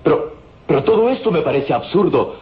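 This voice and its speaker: A man's voice: fairly high pitch, animated, a little fast, natural voice. An actor playing an earnest, courteous and anxious young Egyptian man, formal and dramatic, in an old radio drama. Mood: shocked, distressed. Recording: dull lo-fi old radio recording, hiss.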